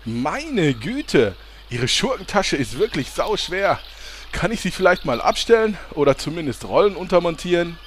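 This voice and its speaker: A man's voice: high-pitched, big pitch swings, moderate pace, slight background noise. A man playing a nagging, self-pitying cook and henchman in a fantasy parody radio play, whining and pedantic. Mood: complaining.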